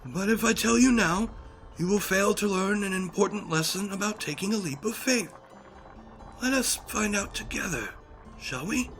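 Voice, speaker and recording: Lofty male wizard, man actor, high register, formal and theatrical, sure of himself, light music under. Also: normal speed, fairly bright tone, poor audio. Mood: defensive, coaxing. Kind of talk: audio drama acting.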